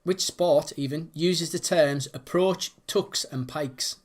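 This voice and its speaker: A man's voice: fairly high pitch, takes it slowly. Man casually hosting a quiz. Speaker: quiz host. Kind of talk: reading quiz questions with casual banter.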